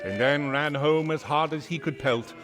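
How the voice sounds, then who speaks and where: A man's voice: on the high side, normal speed, music in the background. A man narrating a classic story in a dramatic, scripted storybook style for radio drama.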